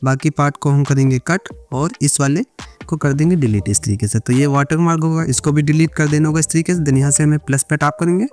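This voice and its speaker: A man's voice: on the high side, a little fast, a little mellow, soft background music. An Indian man narrating a step-by-step editing tutorial, mixing English words into repetitive instructions. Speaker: video editing tutorial creator.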